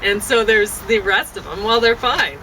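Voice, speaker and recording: A woman chatting casually and haltingly, thinking aloud as she goes and often breaking off to rephrase. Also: expressive, crowd noise. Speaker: vlogger and amateur poet. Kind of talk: casual rambling chat to camera. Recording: noisy, distant mic, traffic-like rumble.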